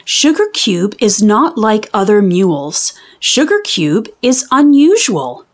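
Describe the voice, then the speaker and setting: A woman hosting a children's read-aloud, reading a storybook expressively with deliberate, carefully enunciated teaching delivery. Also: mid-range voice, sing-song.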